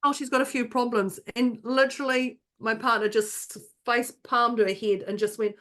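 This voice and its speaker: Woman, podcast co-host, animated storyteller, restarts often, quotes others in voices. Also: fairly high pitch, moderate pace. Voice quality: not calming, by her own account. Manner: animated anecdotes, many restarts, acts out others' lines. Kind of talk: casual podcast chat, storytelling.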